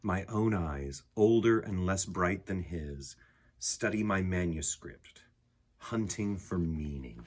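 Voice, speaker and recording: A man reading a picture book aloud to children, gently addressing his young listeners with a husky voice. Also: low-pitched, expressive, slow pace. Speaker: storyteller, picture book reader.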